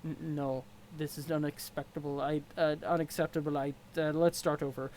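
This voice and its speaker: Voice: medium pitch, flat delivery, moderate pace, a little mellow, slight background noise, pitched below the performer's own voice, natural voice, poor audio. A reader voicing a stern, formal male troll with a pompous manner. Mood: flustered. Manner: formal, pompous, stiff diction. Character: stern, haughty male troll of great strength.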